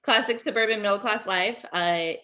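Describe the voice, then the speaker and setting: A woman's voice: medium pitch, moderate pace, sharp tone, dull audio. A woman hosting an interview, asking questions and summing up her guest's story in a friendly, steering way. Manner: friendly, summarizing and steering the talk. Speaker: interview host.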